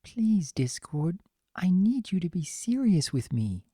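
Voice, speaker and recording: A woman voicing a gentle, anxious young female cartoon pony, earnest, soft and fretful. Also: on the low side, big pitch swings, a little slow, husky, no room sound, studio quality, voice pushed up, full character voice. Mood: hurt, pleading.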